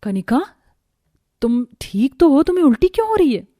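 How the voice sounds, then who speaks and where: A woman voicing a caring, protective young daughter-in-law in a cartoon, earnest and motherly, gently scolding. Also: high voice, sing-song, moderate pace, pitched above the performer's own voice. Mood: concerned.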